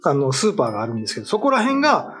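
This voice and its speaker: Middle-aged man, higher-than-average pitch, casual explanatory talk, step-by-step storyteller, soft background music. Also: huge pitch swings, very fast. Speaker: writer and panelist. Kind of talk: casual explanatory chat about folklore. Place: small indoor studio at a table. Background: faint background music.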